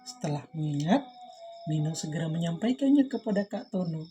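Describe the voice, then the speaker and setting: A woman's voice: lowish voice, expressive, brisk, dark tone, soft background music. A woman reading a children's story aloud expressively, engaging young listeners.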